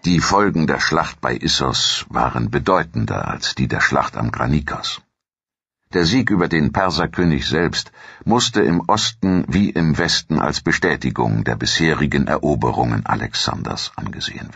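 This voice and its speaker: A man reading scripted history narration as a documentary narrator in a measured, formal, authoritative manner. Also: very deep voice, lively intonation, vocal fry, fairly bright tone, slightly rough, dry recording, dull audio.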